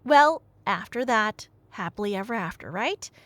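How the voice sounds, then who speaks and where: A woman's voice: mid-range voice, normal speed, no echo. A woman telling a story in a casual, rambling, conversational way, with wry asides. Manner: animated, conversational, wry asides and rhetorical questions. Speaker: audio roleplay storyteller, playing a nerdy girlfriend.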